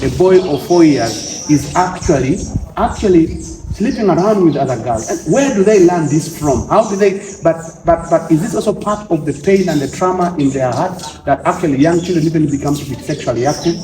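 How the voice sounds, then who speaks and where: A man's voice: on the high side, lively intonation, normal speed. A man with a Ugandan accent hosting a conversational devotional interview, often repeating and rephrasing his points as he talks. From Eastern Uganda. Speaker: devotional show host. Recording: echoey room.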